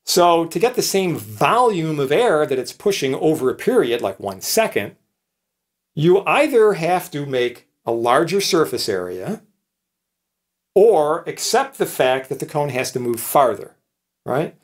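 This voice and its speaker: A man's voice: fairly high pitch, big pitch swings, a little slow. Man in his sixties giving an informal lecture. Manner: conversational, punctuated with tag questions like "right?". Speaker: professor, lecturer. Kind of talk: informal explanatory electronics lecture.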